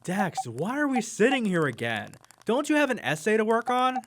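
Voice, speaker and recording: A man's voice: high voice, wildly swooping pitch, moderate pace, background noise. Actor as wildly swooping, exasperated student sidekick guy. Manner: whiny, exasperated. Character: reluctant, exasperated young male college sidekick. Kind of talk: audio drama acting.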